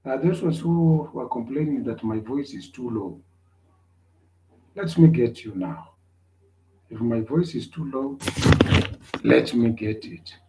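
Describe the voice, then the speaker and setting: A man's voice: medium pitch, slow pace, long pauses, roomy sound, background noise. A man giving an online class lecture, repeating himself often and checking whether his students can hear him. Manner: repetitive, checks on listeners.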